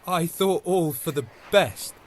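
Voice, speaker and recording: A man's voice: a little high, slow pace. A man playing a passionate, remorseful young lover turned avenger, speaking verse earnestly in a Shakespeare audio drama. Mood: earnest, regretful. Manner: earnest, declamatory verse delivery.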